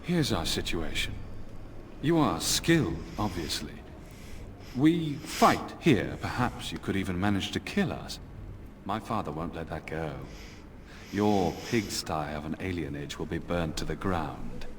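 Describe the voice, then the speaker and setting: A man's voice: low voice, animated, unhurried, slight background noise. An actor playing a smug, entitled young nobleman villain with a condescending, menacing manner in a fantasy video game. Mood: wary, bargaining. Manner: condescending, smooth-talking, menacing.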